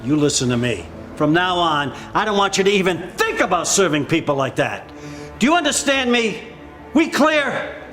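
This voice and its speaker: An actor playing a hostile, bigoted bakery boss in a moralistic web drama, confrontational and barking orders. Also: male voice, high-pitched, sing-song, moderate pace, a bit bright, faint music behind. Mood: hostile, bullying.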